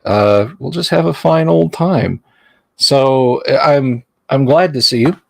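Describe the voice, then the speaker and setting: A man's voice: mid-range voice, slow, gravelly, dry recording, studio quality. A man hosting a casual livestream chat, rambling and self-deprecating, often restarting his sentences. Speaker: livestream host.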